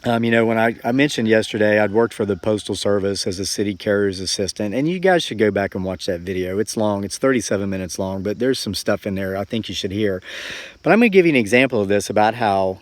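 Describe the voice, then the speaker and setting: A man's voice: fairly low pitch, fast talker, no room sound. A man in his forties talking casually and reflectively to viewers as a homesteading vlogger, with a folksy, earnest, rambling way of telling things. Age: forty-four.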